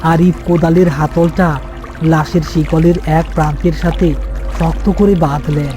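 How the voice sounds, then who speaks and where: A man's voice: fairly high pitch, steady tone, unhurried, over music. A man narrating a scripted horror cartoon story.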